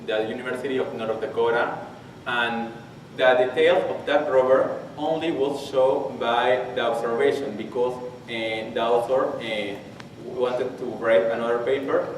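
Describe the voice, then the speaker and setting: A man in his twenties from Peru with a Spanish accent, his first language, giving a technical conference talk and haltingly restarting phrases as he searches for English words. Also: mid-range voice, steady tone. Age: 20s. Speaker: conference presenter. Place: large conference hall. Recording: very echoey hall, distant mic, faint hiss.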